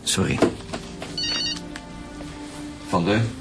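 A male actor playing a firm, methodical chief police inspector who questions in a measured, businesslike way in a radio crime drama. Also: music in the background. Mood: confident, pressing.

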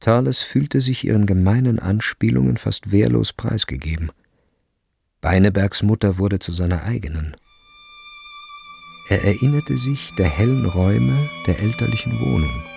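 A man narrating a literary radio drama in a measured, sober manner. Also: on the low side, creaky, darkish tone, soft background music.

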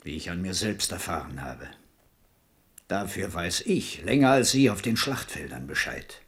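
A man's voice: medium pitch, creaky. An actor playing a seasoned older military commander who earnestly counsels his king in a radio drama. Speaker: marshal advising the king.

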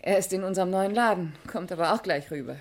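A woman's voice: lowish voice, fast, lightly acted. An actress playing a weary, matter-of-fact female pharmacy assistant in a 1960s German radio crime play. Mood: tired, factual.

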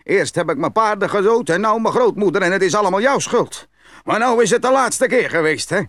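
A jealous, hot-tempered peasant in a storybook drama. His voice sits high and leaps about, close and dry, with a blustering, exclamatory delivery that is quick to take offence and easy to fool. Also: expressive, a bit bright, dry recording. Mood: angry, threatening. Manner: blustering, exclamatory, stammers when startled. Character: big greedy gullible hot-tempered peasant man, jealous. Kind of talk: fairy-tale audio play acting.

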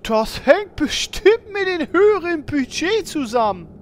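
High female voice, acted, whiny sidekick, clingy, swooping intonation, comic fantasy radio play. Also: very animated, unhurried, slight background noise, heavily acted. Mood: chirpy. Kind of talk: German audio drama parody.

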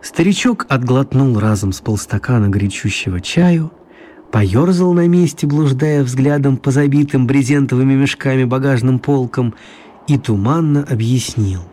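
A man narrating a children's radio play in a calm, even storytelling manner. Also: mid-range voice, moderate pace.

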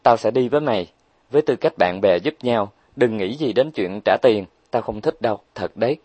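A man's voice: fairly low pitch, lively intonation, moderate pace, a little mellow, breathy edge, no echo. A man voicing a reserved, earnest young woman who works as a tutor, speaking cautiously and sincerely.

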